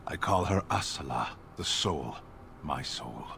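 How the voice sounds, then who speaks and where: A man's voice: a bass voice, lively intonation, unhurried, vocal fry, pushed and bright, a little hiss or hum, full character voice. An actor playing a stoic, solemn male warrior in a fantasy game, speaking tersely and formally. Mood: solemn, reverent. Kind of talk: scripted fantasy game dialogue.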